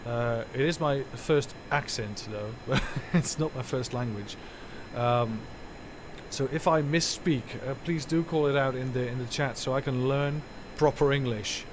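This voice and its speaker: A man's voice: mid-range voice, measured pace, noisy background. A man whose first language is Dutch, giving rambling, self-correcting live commentary while streaming a game from home.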